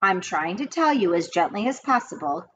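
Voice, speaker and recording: A woman's voice: on the low side, sing-song, normal speed. A woman voicing a patient, firm grandmother over sixty. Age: older. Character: calm determined grandmother. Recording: dull lo-fi with faint hiss.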